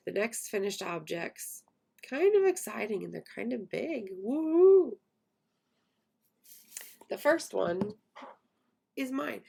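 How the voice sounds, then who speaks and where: A woman in her late twenties hosting a casual podcast, croaky and hoarse while getting over an illness, with a voice that cracks now and then. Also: on the high side. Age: 20s. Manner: chatty, self-deprecating, laughs often. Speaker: podcast host. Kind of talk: casual chat.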